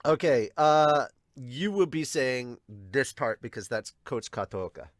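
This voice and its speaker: A man's voice: mid-range voice, a little slow, dry recording, clean studio sound. A man hosting a podcast, giving casual reaction commentary. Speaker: podcast host reacting to a manga chapter. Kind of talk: casual reaction commentary mixed with read-aloud dubbing.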